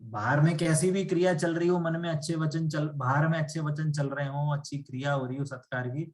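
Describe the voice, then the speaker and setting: Teaching man with a slightly high voice. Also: steady tone, fairly quick. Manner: explains patiently with rhetorical questions. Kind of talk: spiritual class lecture. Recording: echoey room.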